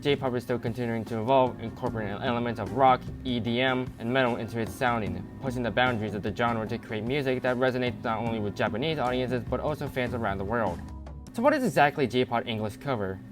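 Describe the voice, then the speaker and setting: Young male narrator for scripted commentary, medium-pitched voice over a clear music bed. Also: fast. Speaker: video essay narrator.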